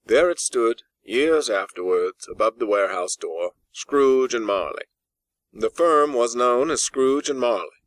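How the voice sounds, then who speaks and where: Measured man narrating, dry recording. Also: medium pitch, expressive, no room sound. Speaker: audiobook narrator. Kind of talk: scripted literary narration. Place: dry in-house recording space.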